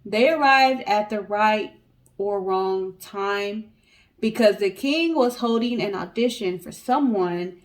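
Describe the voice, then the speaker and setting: Female co-host with a medium-pitched voice, reading a story aloud informally. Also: slow. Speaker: co-host of a story guessing show. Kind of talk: casual read-aloud storytelling.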